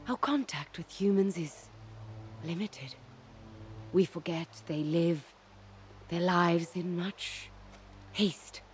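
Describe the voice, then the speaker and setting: A woman voicing a gentle, ancient tree-spirit healer in a video game, solemn and patient, speaking in halting, deliberate, oddly formal phrases. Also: on the low side, slight background noise. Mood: calm, patient. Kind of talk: video game cutscene acting.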